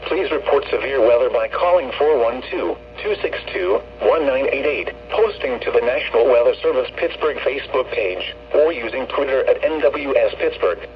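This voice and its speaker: A synthetic man's voice reads formal weather warning bulletins in a measured, impersonal way over weather radio. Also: medium pitch, expressive. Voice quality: synthetic text-to-speech. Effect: weather radio broadcast. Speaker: automated weather radio announcer. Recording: muffled lo-fi radio with hum, noise.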